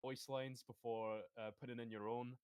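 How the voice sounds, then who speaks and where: A man's voice: mid-range voice, flat delivery, moderate pace, a little mellow, smooth, dry recording. Earnest gushing man, dry close sound. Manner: earnest, gushing, searching for words. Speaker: voice actor and stage actor. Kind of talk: casual livestream chat.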